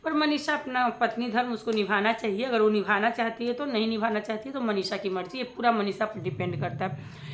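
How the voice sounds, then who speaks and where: A woman in her thirties with a somewhat high-pitched voice speaks as a casual reaction vlogger. She addresses viewers directly and emphatically, repeating herself and slipping English words into her opinions. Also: a little hiss or hum.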